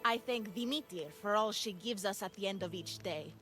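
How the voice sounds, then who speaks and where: An actress playing a pious, bitter farm owner, complaining self-righteously in a video game cutscene. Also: female voice, mid-range voice, normal speed, a bit bright, faint music behind, lightly acted. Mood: scornful, pious.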